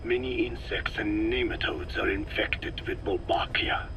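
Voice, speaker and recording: An actor playing a frail old scientist in a video game, speaking slowly and precisely in a weak, breathy rasp. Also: male voice, fairly low pitch, background noise. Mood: patient, explaining. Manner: slow, deliberate, precise, scientific. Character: frail old male scientist explaining his work, measured and grave. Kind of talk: dramatic game voice acting, expository dialogue.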